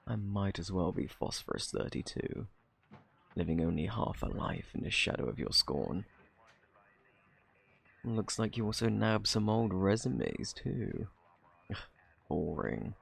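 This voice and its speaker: A man with a British accent acting out a flirty, cocky thief under interrogation in an ASMR roleplay, teasing and sarcastic in a husky, gravelly drawl. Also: lowish voice, unhurried, long pauses, heavily acted. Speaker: audio roleplay performer.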